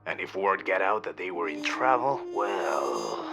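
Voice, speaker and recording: A man playing a secretive, melodramatic Hollywood film producer in a radio serial, speaking in a hushed way with dramatic pauses. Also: deep voice, very animated, creaky, over loud music, heavily acted. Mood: secretive, worried. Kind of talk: old-time radio serial acting.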